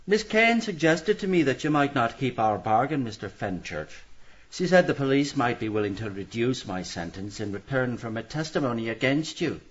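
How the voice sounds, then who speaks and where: A man's voice: mid-range voice, animated, normal speed, slightly rough, dull audio. A man playing an affable career criminal in a radio mystery, easygoing and colloquial. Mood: obliging. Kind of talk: radio mystery drama acting.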